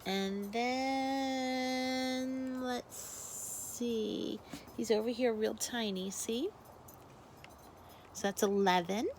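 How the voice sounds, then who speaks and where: A woman's voice: fairly high pitch, extremely slow, pauses often, noisy background. A woman teacher speaking expressively to young children in a story read-aloud with casual asides. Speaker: first grade teacher.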